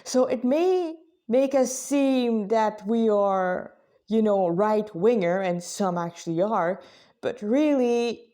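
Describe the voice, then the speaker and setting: Female spiritual vlogger, soft-edged mellow tone, mid pitch, conversational, stop-and-start delivery with self-corrections. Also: slow pace. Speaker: religious vlogger, Krishna devotee. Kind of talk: informal spiritual talk to listeners.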